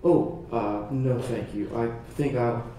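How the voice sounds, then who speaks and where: An actor playing a shy, mild-mannered old bachelor farmer who talks hesitantly and trails off. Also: male voice, mid-range voice, takes it slowly, mellow, slight background noise, lightly acted. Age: older. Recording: roomy with music behind.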